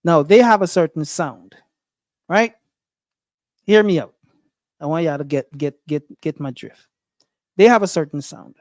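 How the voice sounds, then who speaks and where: A man's voice: fairly high pitch, measured pace, long pauses, darkish tone, no room sound. A man with a Guyanese accent hosting a casual livestream, thinking aloud with many fillers and restarts. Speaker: livestream host.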